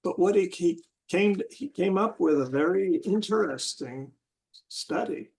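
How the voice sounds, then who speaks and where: A man in a casual group discussion, talking haltingly and searching for words with many restarts. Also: sits high, animated, a little mellow.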